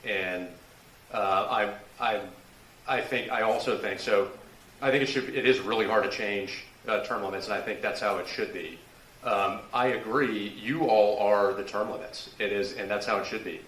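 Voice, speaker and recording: A man answering a question at a candidate forum, halting and full of restarts. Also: fairly low pitch, steady tone, fairly bright tone, slight background noise. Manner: halting, many restarts and fillers. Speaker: political candidate. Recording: echoey room from a distance.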